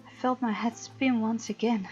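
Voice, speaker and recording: A woman's voice: a little high, steady tone, moderate pace, darkish tone, dull audio. A woman let's-play host reading visual novel narration aloud expressively, with informal asides. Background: faint game music.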